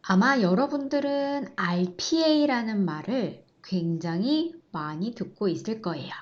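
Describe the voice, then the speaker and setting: A woman's voice: mid-range voice, darkish tone. A woman presenting an e-learning lecture as the instructor, explaining in a polite, formal way on slightly dull audio. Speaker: online course instructor.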